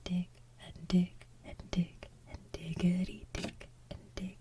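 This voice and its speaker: A woman hosting ASMR in a hushed, breathy, playful way, moving between whispered chat and soft singing. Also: lowish voice, monotone, slow pace. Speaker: ASMR channel host.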